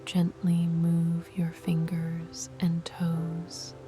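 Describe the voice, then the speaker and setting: A woman whispering soft, soothing guided meditation instructions. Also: fairly low pitch, completely flat delivery, drawn-out words. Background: music.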